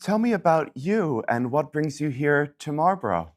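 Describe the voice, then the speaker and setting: A woman's voice: deep voice, sing-song, moderate pace. A woman hosting a local TV interview, asking friendly questions and affirming her guest. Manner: affirming, encouraging. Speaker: TV show host. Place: local TV studio.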